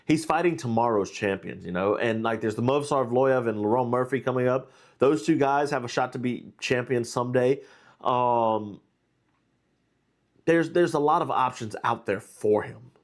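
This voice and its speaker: A man's voice: mid-range voice, moderate pace. A man hosting a live stream, talking casually and rambling through fight analysis. He is under the weather and sounds nasal and stuffy, with plenty of fillers and restarts. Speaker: host.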